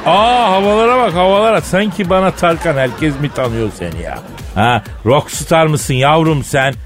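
A man's voice: fairly high pitch, lively intonation, normal speed. Man, radio host, teasing, mock-scolding, colloquial, comic banter, faint music behind. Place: radio studio. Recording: studio voice over background music.

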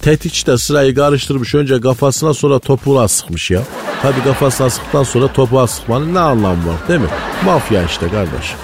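A man's voice: medium pitch, lively intonation, normal speed, natural voice. Man acting a provincial conspiracy guru, eastern Anatolian Malatya accent, folksy, earnest, rambling, radio comedy, faint music. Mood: deadpan storytelling. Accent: Malatya, eastern Anatolian Turkish. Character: pious, conspiracy-minded provincial male economy guru, rambling storyteller. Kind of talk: comic radio character monologue. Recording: studio voice over background music.

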